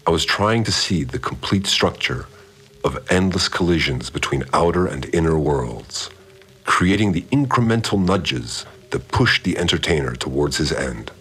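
An actor playing a calm, cryptic male cult priest, narrating his log in a measured, solemn way. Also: a bass voice, slightly rough, heavily acted. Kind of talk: scripted audio drama narration. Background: soft music.

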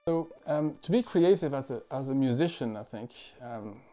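A man in his forties from France, a musician talking thoughtfully at home, with many pauses, fillers and restarts. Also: medium pitch, expressive, warm tone, faint music behind. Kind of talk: reflective interview talk. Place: living room at home.

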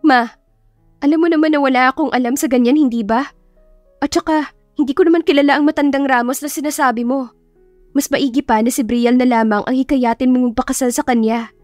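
A woman's voice: high voice, fairly even intonation, fairly quick, darkish tone, no room sound, soft background music, pitched above the performer's own voice, close to an everyday voice. A woman voicing a timid, self-doubting young daughter in an audiobook, hesitant and meek as she protests. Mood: anxious protest. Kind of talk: audiobook character acting.

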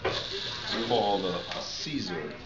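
A man's voice: on the low side, takes it slowly, heavy background noise. A man, a barber shop owner, talking casually and reflectively in an interview. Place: inside a busy barber shop. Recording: echoey, dull audio under loud music.

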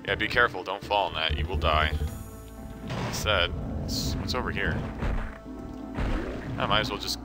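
Young man, congested, casual joking game commentary, lowish voice, loud game music behind. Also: moderate pace, pauses often. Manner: joking, self-talking. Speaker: let's play commentator.

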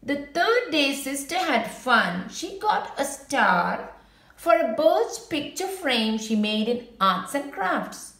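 Slow, high-voiced woman reading a storybook. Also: gravelly, reverberant. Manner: expressive, unhurried picture-book delivery. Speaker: children's storybook reader. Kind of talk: read-aloud storytelling for children with brief asides.

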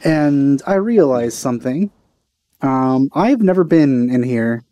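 A man's voice: medium pitch, animated, takes it slowly, warm tone. A man giving casual commentary as he plays a video game. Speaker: let's player. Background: game music.

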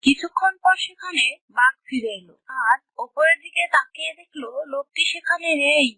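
উঁচু, উজ্জ্বল গলার নারী গল্পকথক. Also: female voice, high voice, moderate pace, sharp tone, no echo, rough recording. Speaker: storyteller narrator. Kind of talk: scripted children's story narration.

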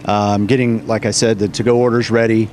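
A man's voice: lowish voice, level tone, fairly quick, a little mellow. An American man in his forties, a cafe owner explaining his business casually in an interview. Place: indoors in a cafe, behind the counter. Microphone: interviewer's handheld microphone. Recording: clear background noise on location.

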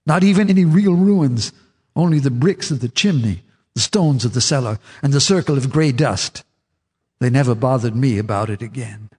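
An actor playing a half-mad old farmer in a radio drama, telling his tale in a husky rural drawl. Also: medium pitch, moderate pace, darkish tone, big-room sound, close to an everyday voice. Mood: grim. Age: older. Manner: confiding, eerie monologue.

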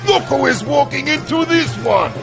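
Bombastic, gravelly Russian premier. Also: male voice, extremely high pitch, expressive, music in the background, heavily acted. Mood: jovial, welcoming. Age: middle-aged. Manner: pompous, theatrical, slow deliberate delivery. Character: bombastic, jovial middle-aged Soviet premier. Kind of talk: theatrical video game cutscene acting.

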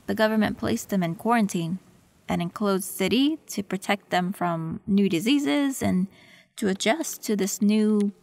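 A woman voicing a gentle young tech fixer in an audio roleplay, soft and reflective. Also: mid-range voice, measured pace, creaky. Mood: wistful. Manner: soft, soothing, reflective with small laughs. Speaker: voice actor.

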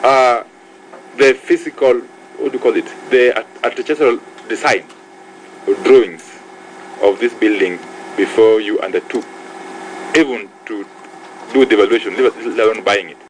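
A man's voice: lowish voice, normal speed, pauses often, a little hiss or hum, rough recording. Man chairing a parliamentary hearing. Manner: pressing, formal questioning. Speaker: committee chair. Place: committee room.

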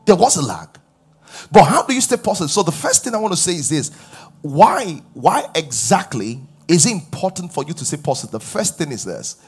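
A pastor in his forties preaching a sermon on a large church stage before a live audience, his voice rising loud on key points as he questions the crowd. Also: fairly high pitch, big pitch swings, brisk, breathy edge.

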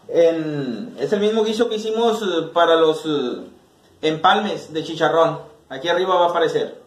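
A man in his thirties with a Mexican accent, hosting a casual cooking tutorial in a small home kitchen. He talks straight to the camera, relaxed, while he chops and stirs. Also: sits high. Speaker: cooking channel host. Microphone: camera mic, medium distance. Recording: echoey kitchen with faint noise.

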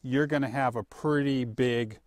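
A man's voice: mid-range voice, steady tone, measured pace, darkish tone, no room sound, clean studio sound. Folksy middle-aged man talking shop. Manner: folksy, talkative, plain-spoken. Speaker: guest product expert. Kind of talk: casual live product chat. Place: open-sided tent at an outdoor range.

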